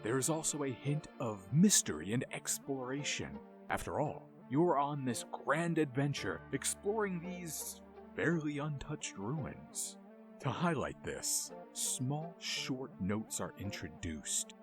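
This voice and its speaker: Man, mid-pitched voice, very varied intonation, scripted video essay narration, dramatic storyteller delivery, music underneath. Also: very animated, slow pace.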